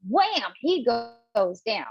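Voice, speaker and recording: A woman's voice: on the high side, big pitch swings, slow pace, vocal fry, breathy edge, no echo. A woman reading stage directions in scripted narration at a table read, now and then stumbling over words. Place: remote online table read. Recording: dull, dry lo-fi call audio.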